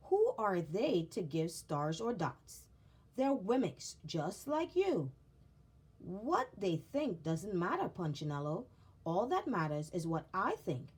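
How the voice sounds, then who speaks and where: A big bearded woodcarver from a children's storybook, acted out by a woman, kindly and fatherly. He reassures in slow, deliberate phrases, with expressive pitch. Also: breathy edge, full character voice.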